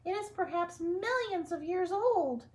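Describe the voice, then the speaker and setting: A woman voicing a fussy, proper female mouse scientist in a storybook read-aloud, speaking high and grave. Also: animated, a little slow. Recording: echoey room, faint room noise.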